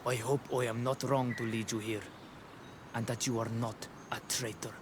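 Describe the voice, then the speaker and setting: An actor playing a wary, solemn rebel scout in a fantasy video game. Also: male voice, mid-range voice, little pitch movement, moderate pace, slight background noise, lightly acted. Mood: cautious trust. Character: wary male rebel scout of a native clan.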